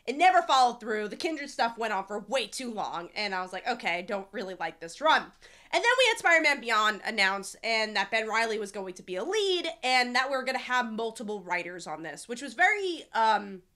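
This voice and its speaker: A woman hosting a comic review channel, chatting casually with fillers and restarts, sounding nasal as she gets over a cold. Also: brisk. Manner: chatty, many restarts and fillers, lively rises in pitch. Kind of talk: casual review talk to camera.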